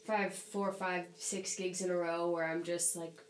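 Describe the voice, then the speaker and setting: A young woman chats easily as a guest, her voice sitting a bit low and a little nasal from a recent cold. She talks in a loose, lively run full of "like"s and bursts of laughter. The room rings with echo and a faint hum sits underneath. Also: normal speed. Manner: chatty, animated, laughs often, many "like"s. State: slightly nasal from recent sickness. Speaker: podcast guest, singer. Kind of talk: casual conversation.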